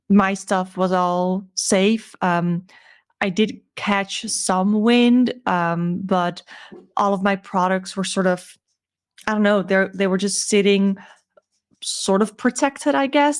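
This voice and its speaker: A woman with a croaky voice giving a casual, chatty recap as a vlog host, informal and a little hesitant as she thinks aloud. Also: mid-range voice, takes it slowly. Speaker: crochet seller and video host.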